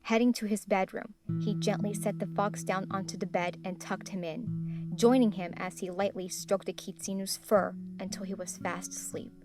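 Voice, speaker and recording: A woman's voice: mid-range voice, steady tone, moderate pace. Woman narrating a story over music. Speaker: fan fiction narrator. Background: instrumental guitar music.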